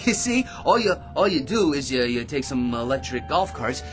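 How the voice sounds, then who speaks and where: An actor playing an excitable, self-important eccentric in a radio drama, rambling and stammering through grand schemes with theatrical delivery. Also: male voice, medium pitch, normal speed, soft background music. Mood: excited rambling.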